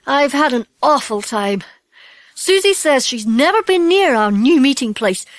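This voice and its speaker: An excitable British schoolchild from an old-fashioned storybook gang. The voice is high and swoops in lively exclamations, earnest throughout, recorded close and dry. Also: female voice, measured pace, no echo. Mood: miserable. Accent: British English.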